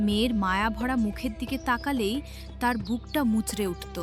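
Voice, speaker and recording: A woman delivering scripted, dramatic narration for an animated story as its storyteller. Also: fairly high pitch, normal speed. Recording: music behind the voice.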